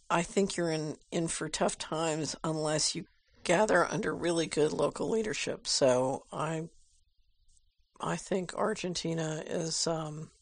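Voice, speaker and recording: Female host, gravelly texture, dry booth-like sound; measured, thoughtful delivery answering listener mail. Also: sits low, no room sound. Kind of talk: casual commentary, reading viewer letters aloud.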